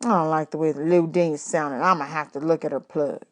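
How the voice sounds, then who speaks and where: A woman voicing a gruff, grudging older bandleader in a storybook, slow, emphatic and grumbling. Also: low-pitched, fairly even intonation, pitched below the performer's own voice, natural voice.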